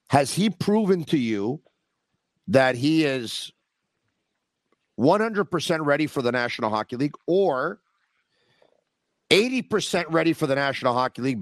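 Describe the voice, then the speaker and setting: A man's voice: medium pitch, no room sound. A man hosting a sports podcast, talking animatedly with emphatic repetition while losing his voice. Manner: animated, emphatic, repeats phrases. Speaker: podcast host. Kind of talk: sports talk, casual.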